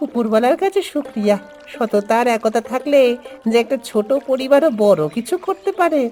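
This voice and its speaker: A woman voicing a humble, grateful ageing village father who runs a food stall in an animated story. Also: fairly high pitch, a little fast, close to an everyday voice. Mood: grateful, heartfelt. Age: older. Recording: voice over background music.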